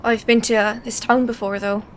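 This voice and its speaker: A woman's voice: fairly high pitch, little pitch movement, moderate pace, slight background noise. A woman playing a tabletop game, improvising her character's lines in a casual style.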